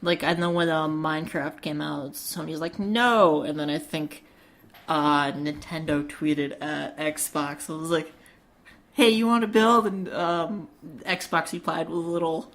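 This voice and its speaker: A woman's voice: low voice, fairly even intonation, a little slow. A woman co-hosting a gaming podcast, chatting informally and acting out short quotes. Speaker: podcast co-host. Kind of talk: casual gaming podcast chat.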